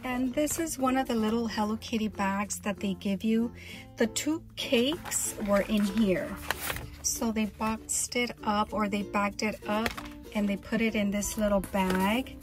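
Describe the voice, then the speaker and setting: A woman's voice: mid-range voice, measured pace, music in the background. A woman chatting casually in a vlog, showing her purchases and gushing, often restarting her sentences.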